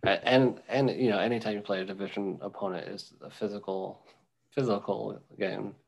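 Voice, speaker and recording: Young male podcast co-host, low gravelly voice, halting laid-back football chatter. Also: steady tone, slight background noise, rough recording. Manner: rambling, halting, many ums and restarts. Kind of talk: casual sports chat.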